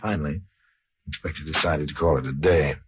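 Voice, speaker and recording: Wry, ominous mystery storyteller, extremely low-voiced, vintage radio drama, muffled old recording. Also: male voice, husky, exaggerated voice. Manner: deliberate, sardonic, theatrical. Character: wry, ominous older male storyteller of true crimes. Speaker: narrator and host of a crime anthology.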